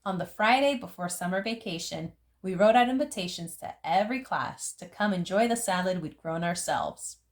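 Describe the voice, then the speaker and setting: A woman reading a picture book aloud as a storyteller, phrasing it deliberately for young listeners. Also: fairly low pitch, expressive. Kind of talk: expressive picture book narration.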